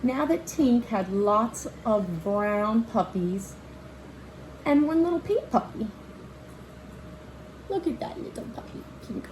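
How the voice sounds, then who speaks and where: A woman with a slightly high voice reads a children's story at an unhurried pace. She speaks expressively to young listeners, adding little asides and questions as a teacher would. There is faint noise in the recording. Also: low-quality recording. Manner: expressive, child-directed, pauses to ask questions and point out pictures. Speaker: read-aloud storyteller and teacher. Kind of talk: children's picture-book read-aloud with asides.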